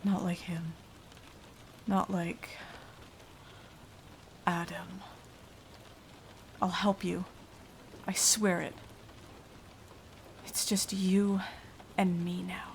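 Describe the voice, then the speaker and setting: A woman playing a haunted, remorseful vampire in an ASMR roleplay, confiding in a hushed, halting, dramatic voice that often drops to a whisper. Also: on the low side, little pitch movement, vocal fry, heavily acted. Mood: regretful, resolute. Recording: light rain noise behind voice. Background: rain.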